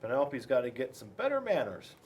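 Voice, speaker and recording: A man reading a storybook aloud to children in an expressive, chatty way, addressing his young listeners directly. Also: mid-range voice, quick pace, slight background noise. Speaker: police school resource officer reading to children.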